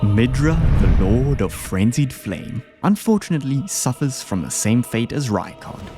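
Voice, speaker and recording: Lively man doing casual video commentary. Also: medium pitch, unhurried. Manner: informal, slangy. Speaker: video host ranking game bosses. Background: background music.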